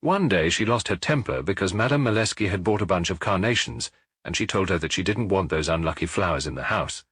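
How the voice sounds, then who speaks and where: A man reading an audiobook, voicing a middle-aged male police officer who gives his report in a measured, matter-of-fact way. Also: low voice, lively intonation, fairly bright tone, husky, no echo, clean studio sound, pitched below the performer's own voice, heavily acted. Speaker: audiobook reader.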